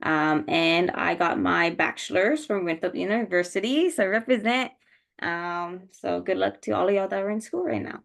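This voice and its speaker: A woman talks about her work as a nutritionist on an online panel, relaxed and casual, with many ums. Her voice is low and gravelly, heard over a video call. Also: moderate pace.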